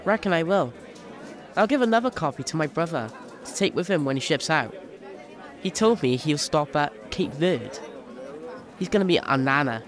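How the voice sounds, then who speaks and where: An actor playing a cheeky, enterprising young chimney sweep who banters in an audio drama. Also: male voice, on the high side, expressive, moderate pace, a faint crowd, lightly acted. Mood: bantering.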